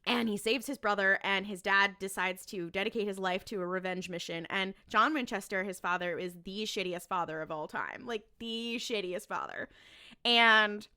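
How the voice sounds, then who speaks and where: A woman's voice: mid-range voice, normal speed, pushed and bright, clean tone. A woman on a podcast panel chatting casually as a fan making a case, emphatic and repeating phrases for effect.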